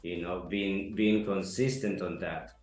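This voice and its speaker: A man from Ecuador, giving an earnest, halting testimonial interview full of fillers. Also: deep voice, steady tone. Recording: echoey room, soft music behind.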